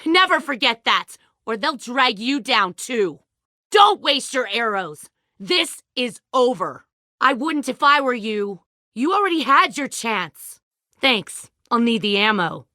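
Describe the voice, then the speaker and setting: A woman's voice: a little high, big pitch swings, takes it slowly, pushed and bright, no echo, clean studio sound, full character voice. An actress voicing a ruthless, hardened woman who leads a survivor militia in a video game drama, speaking in a controlled, menacing and persuasive way. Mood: bitter, strained.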